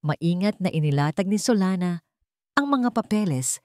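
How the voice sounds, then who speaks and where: A woman narrating a dramatic family story in a slow, measured way. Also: lowish voice, animated, a little mellow, dry recording. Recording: dry close mic with faint music.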